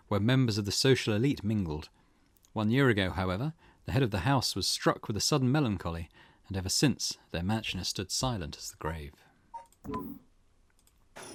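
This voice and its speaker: A man's voice: on the low side, dry recording. A man hosting a gaming playthrough, reading visual novel narration aloud with casual asides. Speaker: gaming channel host.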